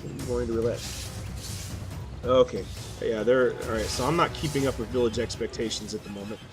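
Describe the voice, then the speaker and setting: A man's voice: mid-range voice, steady tone, fairly quick. A man hosting a let's play, thinking aloud in a casual, self-deprecating way. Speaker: gaming video host. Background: game music and effects.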